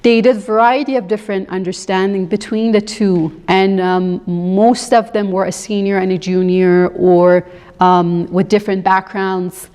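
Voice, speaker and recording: A woman's voice: medium pitch, steady tone, a little slow, a little mellow, smooth. A woman from Canada, born in Iran, giving a reflective, informal conference presentation as an instructor explaining student work. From grew up in Canada, born in Iran. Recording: echoey hall, long reverb.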